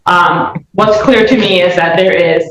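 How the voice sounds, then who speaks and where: A woman's voice: on the low side, steady tone, measured pace, fairly bright tone. A woman prosecutor addressing a judge in a courtroom argument, heard on a distant, echoey, noisy pickup.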